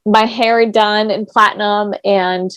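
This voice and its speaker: A woman's voice: mid-range voice, steady tone, takes it slowly, fairly bright tone, clear, resonant tone, dry recording. A young woman hosting a podcast, chatting casually and filling her talk with "like". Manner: chatty, lots of "like" fillers. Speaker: podcast host. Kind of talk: casual chat.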